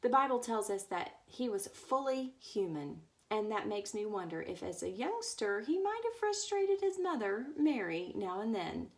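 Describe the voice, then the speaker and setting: A woman's voice: medium pitch, big pitch swings, moderate pace, few pauses. A woman reading a book aloud as a storyteller, now and then stumbling over words. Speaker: story reader.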